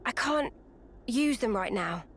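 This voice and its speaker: A soft, earnest young soldier. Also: female voice, a little high, animated, a little slow, slight background noise. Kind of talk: video game cutscene voice acting.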